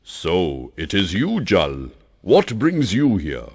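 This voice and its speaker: Man doing a gruff old mountain, low, slow and scolding, storybook voice. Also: wildly swooping pitch, darkish tone, exaggerated voice. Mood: stern. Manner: scolding, booming. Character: stern angry old male mountain.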